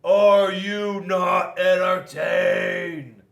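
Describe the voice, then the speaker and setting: A man's voice: sits high, level tone, creaky, a bit bright, muffled recording, voice pushed up, exaggerated voice. Man putting on a bombastic, boastful rock warrior. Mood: booming, triumphant. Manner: bombastic, drawn-out battle cries. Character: boastful, battle-hungry male rock warrior hero. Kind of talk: storybook read-aloud. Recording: echoey room.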